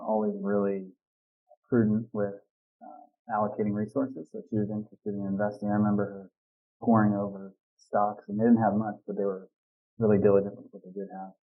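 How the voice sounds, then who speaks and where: A man from Western Pennsylvania, a guest telling his story in a relaxed way with many fillers. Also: fairly low pitch. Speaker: guest, real estate co-founder. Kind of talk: conversational interview answers. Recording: phone-like remote line.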